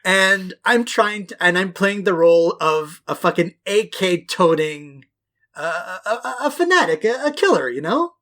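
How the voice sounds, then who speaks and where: A man's voice: sits high, animated, measured pace, sharp tone. A man in his thirties from West Quebec, co-hosting a chatty podcast. His voice sits a little above the usual male range, and he talks in long, looping, profane anecdotes, often at his own expense. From West Quebec, Canada. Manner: rambling, profane, self-deprecating storytelling. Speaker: podcast co-host. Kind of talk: casual podcast chat.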